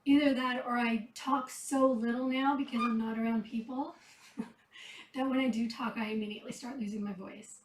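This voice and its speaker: A woman who rescues cats, chatting casually and softly while tending kittens, her voice going a bit hoarse. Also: on the high side, steady tone, normal speed, echoey room, distant mic, slight background noise, low-quality recording. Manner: chatty, soft-spoken, talks to the cats between remarks. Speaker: cat rescuer. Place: kitten room indoors.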